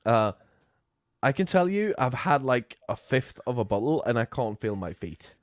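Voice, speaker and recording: Lively Norwegian-accented man. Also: mid-range voice, moderate pace, no room sound, clean studio sound. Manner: animated, swearing, playful rants. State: sick with flu, drinking. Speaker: podcast guest. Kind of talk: casual chat.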